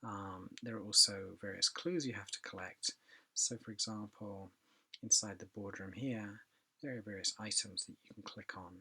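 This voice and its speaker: A man casually walking through a demo of a learning app, thinking aloud with many pauses and asides. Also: on the low side, steady tone, pushed and bright, slightly rough. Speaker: product demonstrator. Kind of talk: casual software demo walkthrough.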